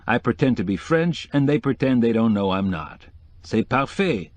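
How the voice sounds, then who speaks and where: A man's voice: medium pitch, animated, moderate pace, no echo, pitched above the performer's own voice, natural voice. A man voicing a witty, flamboyant decorator in an audiobook, sprinkling French phrases into hip slang. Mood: amused, confiding. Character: flamboyant, witty male interior decorator, sly and gushing. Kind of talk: acted audiobook dialogue.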